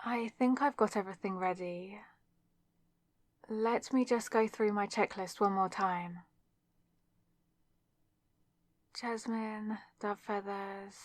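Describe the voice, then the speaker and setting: Woman acting slow-talking, lovestruck young witch. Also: medium pitch, fairly even intonation, no echo, lightly acted. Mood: hushed, checking. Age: young adult. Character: nervous lovestruck young student witch, secretly crushing on classmate. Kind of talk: ASMR audio roleplay, scripted solo monologue.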